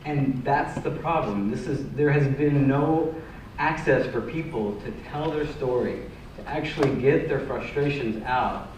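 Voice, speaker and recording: Man, panel speaker, thoughtful, restarts, far from the mic, boomy room. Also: a little slow, noisy background. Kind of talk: panel discussion answer. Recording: echoey hall, distant mic.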